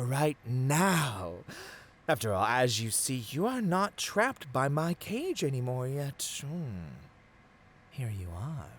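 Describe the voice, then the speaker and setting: A man's voice: medium pitch, fairly bright tone, a little hiss or hum. A man playing a seductive, arrogant supervillain in a theatrical ASMR roleplay, silky and grandiose, slipping into whispers. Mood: taunting, exultant. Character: seductive, arrogant male supervillain tempting a hero.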